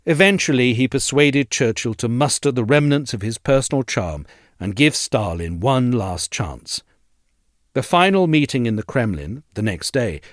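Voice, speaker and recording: A man with a lowish voice reads a formal essay aloud. His delivery is measured and articulate, with lively, wide-moving intonation. The sound is dry and close. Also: dry recording. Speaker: essay narrator. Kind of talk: scripted audio essay narration.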